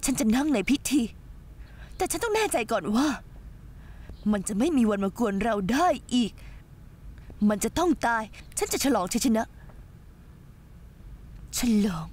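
A woman's voice: on the high side, very animated, a little slow, pauses often, a little mellow. A vengeful jealous young woman. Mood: gloating. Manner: menacing, gloating. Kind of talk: dubbed TV drama.

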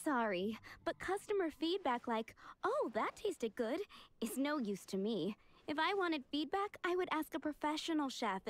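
A woman voicing a proud, skeptical village cook in a video game, brisk and emphatic. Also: high voice, sing-song, no room sound. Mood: proud, dismissive. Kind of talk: English video game voice acting.

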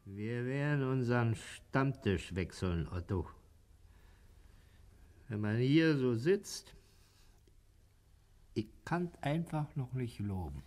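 A grumbling middle-aged pub regular with a Berlin accent. His pitch is middling and very lively, rising and falling as he gripes. The style is colloquial 1960s radio drama. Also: takes it slowly, pauses often. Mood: wistful. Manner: colloquial, griping. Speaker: actor. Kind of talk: 1960s German radio play acting.

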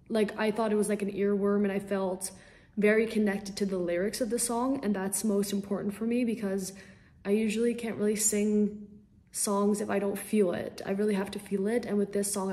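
A woman's voice: medium pitch, warm tone. A young woman from the Netherlands, a singer-songwriter answering interview questions casually over a video call from a small room at home, bubbly and eager with frequent ums.

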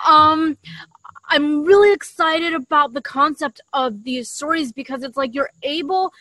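A young woman with a high-pitched voice chats as a podcast co-host. She talks enthusiastically in a casual, conversational way. The sound is dry, picked up by a desktop microphone. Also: unhurried, pushed and bright, no room sound, poor audio. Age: young adult. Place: outdoors in a leafy garden. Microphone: desktop condenser mic shared between two.

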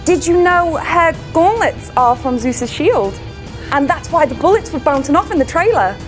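Excitable high-pitched young woman. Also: moderate pace, soft background music. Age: young adult. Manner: excitable, teasing. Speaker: co-host of a comics show. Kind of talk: casual banter. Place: small studio set, indoors.

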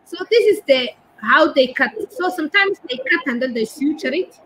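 A woman in her thirties with a Somali accent, explaining haltingly as an interview guest on a video call, searching for words. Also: high voice, expressive, a bit bright, a little hiss or hum, poor audio. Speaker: guest, doctor and women's rights activist. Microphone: laptop or phone on a video call.

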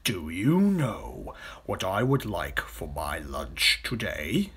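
A man voicing an enormous, greedy, boastful crocodile from a children's storybook, relishing each slow, drawn-out word with a sly menace and breathy hisses. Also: low voice, big pitch swings, fairly bright tone, heavily acted.

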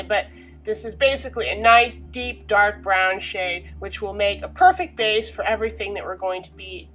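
A young woman, slightly hoarse from a cold, chatting casually through a painting tutorial in a small bedroom, recorded on the camera mic with many fillers. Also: mid-range voice.

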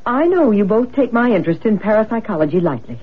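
A woman's voice: mid-range voice, very animated, fast talker, a little hiss or hum, close to an everyday voice. An actress playing a grave older mother who firmly believes in the psychic, in a radio drama. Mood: grave, insistent. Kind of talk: 1970s radio drama acting. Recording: muffled old radio broadcast.

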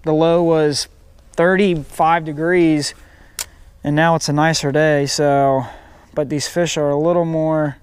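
A man's voice: fairly high pitch, little pitch movement, unhurried, clear, resonant tone, no room sound. A man in his thirties hosting a casual fishing vlog outdoors by a creek, filmed on a head-mounted action camera and talking to viewers. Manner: talks to viewers as "guys". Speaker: fishing channel host.

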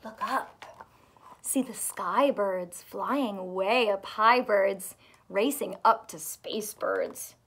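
A woman in her thirties reading a picture book aloud to children in an expressive, sing-song, teacherly way. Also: mid-range voice, slow pace, slight background noise. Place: small furnished room indoors.

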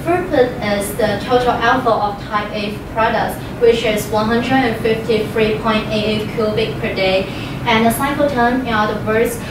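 A woman's voice: on the high side, fairly even intonation, moderate pace, few pauses. Young woman presenting in a very echoey room. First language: Chinese. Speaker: student presenter. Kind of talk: class project presentation. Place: classroom with a projector screen, indoors. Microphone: far room microphone. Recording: very echoey room from far off, noisy.

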